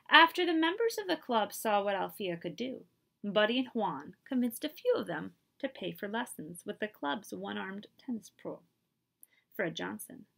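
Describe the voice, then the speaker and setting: An expressive woman reads a storybook aloud indoors. Her pitch is lively and varied, sitting in a middle register. She adds asides and questions for young listeners. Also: gravelly. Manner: animated, asks listeners questions. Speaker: librarian reading aloud to children. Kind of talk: expressive picture-book read-aloud with asides. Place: small room indoors.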